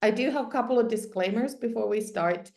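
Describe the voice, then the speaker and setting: A woman's voice: a little high, brisk, vocal fry, a little mellow. A woman whose first language is Finnish gives a sports psychology webinar talk, explaining step by step with frequent ums and restarts. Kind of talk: webinar presentation. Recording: roomy echo.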